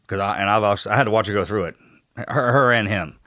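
A middle-aged man, a former Navy SEAL co-hosting a podcast, introducing a guest earnestly with halting restarts. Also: on the low side, fairly bright tone, no room sound, clean studio sound.

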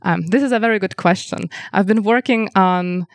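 A woman whose first language is Lithuanian, answering interview questions as a researcher in a measured, explanatory way with frequent ums. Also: medium pitch, no room sound, clean studio sound. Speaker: researcher guest.

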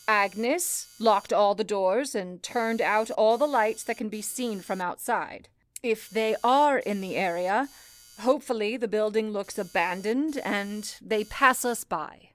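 A woman playing a calm, commanding telephone switchboard supervisor in an old-time radio play, with a deliberate, authoritative way of speaking. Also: mid-range voice, close to an everyday voice. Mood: grave, urgent. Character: calm, commanding female telephone operator supervisor in wartime-like crisis.

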